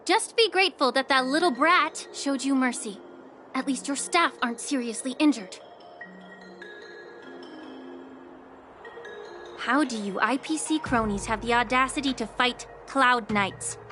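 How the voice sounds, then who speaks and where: A high-ranking healer in a video game, composed and wry. Her voice is high and poised, with music behind it. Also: moderate pace, sharp tone. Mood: chiding.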